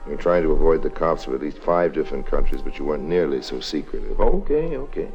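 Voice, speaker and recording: An actor playing a wry, easygoing rogue adventurer in a 1950s radio drama, talking casually and a little bemused. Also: male voice, a bass voice, sing-song, quick pace, a little mellow, exaggerated voice. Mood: teasing. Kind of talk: 1950s radio drama acting and first-person narration. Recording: muffled old radio recording. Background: music.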